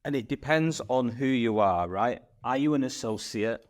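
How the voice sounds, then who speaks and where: A man's voice: medium pitch, normal speed. A man who owns a dental practice, chatting on a podcast over a video call. He tells stories with energy and often ends points with "right?". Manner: animated storyteller, tag questions. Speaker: practice owner. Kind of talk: casual podcast conversation.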